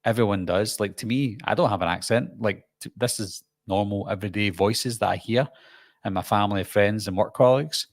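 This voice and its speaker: A middle-aged man with a Glasgow accent hosts a live stream, reading viewer comments and rambling on with plenty of ums and restarts. His voice sits low with a gravelly edge. The sound is dry and close, with no room around it. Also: a little fast, dry recording. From Scotland. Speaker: YouTube host.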